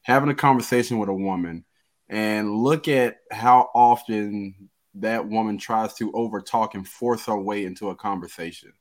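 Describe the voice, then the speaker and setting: Relaxed low-voiced man hosting a chat. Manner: relaxed, quick to laugh, asks questions. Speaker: host. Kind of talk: casual group discussion.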